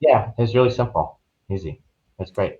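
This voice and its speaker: Low-voiced man, slightly dull recording. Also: expressive, moderate pace, dark tone. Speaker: podcast guest, travel agent. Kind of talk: casual conversational recounting.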